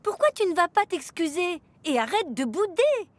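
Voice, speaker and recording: A woman's voice: very high voice, big pitch swings, normal speed. A woman playing a friendly, candid little steam engine in a French cartoon dub, frank and encouraging. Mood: advising.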